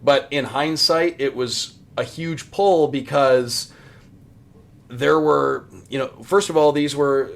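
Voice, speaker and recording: A man's voice: mid-range voice, steady tone, takes it slowly, vocal fry. An American man in his thirties chatting casually and enthusiastically as a podcast guest about his hobby. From US Midwest. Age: 30s. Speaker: podcast guest, sports radio play-by-play announcer.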